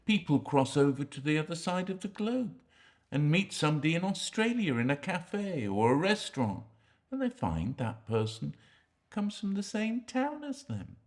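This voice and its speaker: An actor playing a sly, self-pitying jailed man who swings between hushed confiding and sudden outbursts. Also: a little high, very animated, slow, pauses often. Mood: hushed, wheedling. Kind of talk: dramatic monologue to a visitor. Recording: echoey room.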